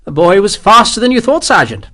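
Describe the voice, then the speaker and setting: A man putting on a higher, clipped voice for a scheming army captain. He barks orders crisply, with plenty of rise and fall, in a storybook reading. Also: big pitch swings, a little fast, bright, forceful tone, exaggerated voice. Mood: sly. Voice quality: clipped, commanding.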